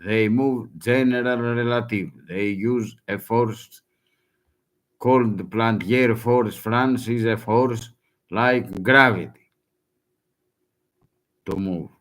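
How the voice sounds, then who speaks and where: A man whose first language is Greek, speaking broken English in a halting, urgent warning with many restarts. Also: mid-range voice. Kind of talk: halting self-recorded warning.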